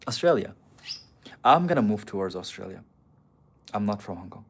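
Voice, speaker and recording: A man's voice: lowish voice, big pitch swings, moderate pace, breathy edge. A man on a casual live stream, answering viewer comments in a blunt, profane, argumentative way. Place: city streets outdoors.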